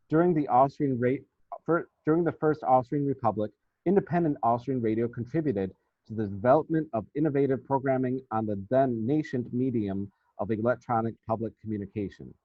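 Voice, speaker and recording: A man reading out a scripted academic paper as a researcher, in a formal, measured and deliberate manner. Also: medium pitch, dry recording. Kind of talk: scripted academic presentation, read aloud. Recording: thin, phone-like band-limited sound.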